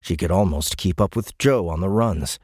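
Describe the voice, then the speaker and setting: A man with a lowish voice reads dramatic science fiction prose in measured, grave phrases. The recording is very dry and close, like a studio booth. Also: darkish tone, dry recording. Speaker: audiobook narrator. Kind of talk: dramatic scripted narration of military science fiction.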